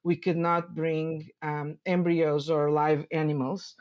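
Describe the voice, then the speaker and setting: A woman's voice: sits low, flat delivery, slow, darkish tone, dull audio. A woman in her forties from Portugal with a Portuguese accent, a sheep farmer in an interview, speaking hesitantly with many fillers and restarts. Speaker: sheep dairy farmer, guest. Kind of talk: interview conversation.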